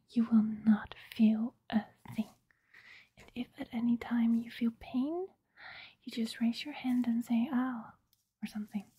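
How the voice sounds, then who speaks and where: A woman in her twenties doing a whispered ASMR roleplay, speaking close to the mic in a breathy, gentle, reassuring way. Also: on the high side, dry recording. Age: 20s. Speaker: ASMR roleplayer playing a surgeon. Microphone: close mic, speaking through a surgical mask.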